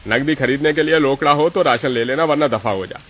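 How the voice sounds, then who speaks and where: A man's voice: mid-range voice, a little fast, a bit bright, close to an everyday voice. Man playing a scornful, stingy village grocer. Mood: curt, harsh. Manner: gruff, scornful, blunt. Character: stingy, rude, gloating male village grocer. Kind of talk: Hindi animated moral story acting.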